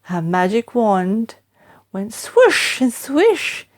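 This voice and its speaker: A woman narrating rhyming children's stories in an expressive, dramatic, deliberate storyteller's way. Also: fairly high pitch.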